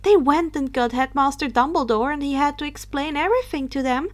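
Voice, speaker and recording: A woman reading fan fiction aloud as narrator in an expressive storybook style. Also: sits high, fairly quick.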